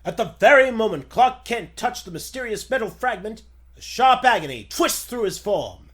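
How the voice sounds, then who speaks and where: Guy doing an old time radio serial narrator, urgent and dramatic, sharp animated voice. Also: high voice, normal speed. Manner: urgent, theatrical. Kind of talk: 1940s radio announcer narration.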